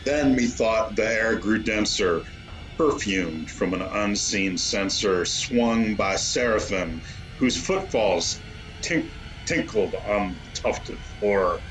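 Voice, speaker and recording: A man giving a dramatic gothic poetry reading in a theatrical, deliberate manner. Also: on the low side, animated, a bit bright, soft background music, low-quality recording. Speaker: poetry reader.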